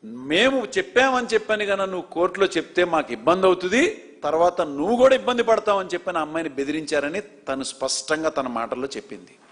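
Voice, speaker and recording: A male news presenter with a notably high voice, talking in an indignant, emphatic way. He keeps pressing with rhetorical questions as he comments on the story. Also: animated, quick pace.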